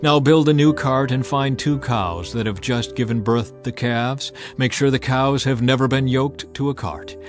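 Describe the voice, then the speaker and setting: A grave pagan priest played by a male narrator gives measured, instructive counsel in a scripture drama. The voice is middle-pitched over faint music. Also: lightly acted.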